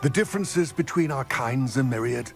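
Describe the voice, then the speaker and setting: A man's voice: medium pitch, lively intonation, faint music behind, natural voice. Man acting solemn, formal old goblin. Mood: solemn, measured. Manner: formal, measured, eloquent. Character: aging male goblin, solemn, proud, formal former fighter. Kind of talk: video game cutscene acting.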